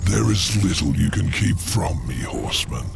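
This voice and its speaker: Cavernously deep, gravelly demon lord. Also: male voice, sing-song, over loud music, heavily acted. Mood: sly taunting. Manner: silky, taunting, drawn-out. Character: sly, mocking, ancient imprisoned male demon lord. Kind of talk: video game cutscene acting.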